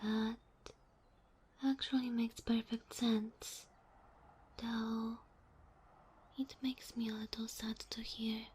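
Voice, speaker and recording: A woman voicing a sweet, shy, submissive fox spirit familiar in an ASMR roleplay, with a soft, breathy voice that often drops to a whisper and a gentle, bashful way of talking. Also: a little high, flat delivery, takes it slowly, pauses often, heavily acted. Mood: tender, curious. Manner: gentle, bashful, flustered hesitations.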